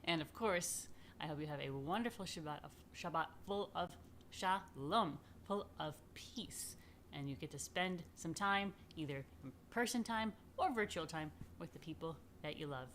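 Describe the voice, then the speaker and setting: A woman's voice: low-pitched, expressive, normal speed, fairly bright tone, slight background noise. A woman rabbi hosting a children's story time, speaking informally and playfully straight to the children in a chatty way.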